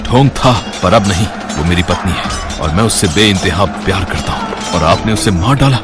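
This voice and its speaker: A man's voice: deep voice, lively intonation, normal speed, music in the background. A man voicing a betrayed young king, a devoted husband and son who speaks with impassioned accusation in a dramatic story. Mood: defiant grief.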